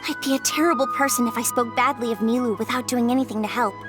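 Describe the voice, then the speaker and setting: A self-critical adult woman in a video game, voiced by a woman. She speaks earnestly in a slightly high voice. Game music plays clearly behind her. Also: steady tone, fast, lightly acted. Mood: remorseful.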